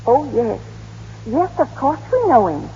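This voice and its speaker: An actress playing a prim, gossipy small-town spinster in comic old radio drama, folksy and matter-of-fact. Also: female voice, a little high, sing-song, unhurried, warm tone, breathy edge, full character voice. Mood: prim certainty. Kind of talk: 1930s-40s radio drama comic acting. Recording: echoey, muffled, noisy old radio broadcast.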